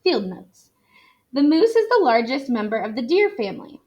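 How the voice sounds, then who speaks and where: Higher-voiced woman reading aloud to children. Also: very animated, normal speed, darkish tone. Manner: explanatory, addressing young learners. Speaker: teacher reading a picture book aloud. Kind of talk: expressive read-aloud for children.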